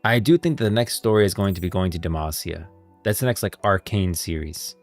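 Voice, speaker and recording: A man's voice: low voice, brisk, soft background music. A man hosting a livestream with casual, emphatic and opinionated commentary on game lore. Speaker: livestream host.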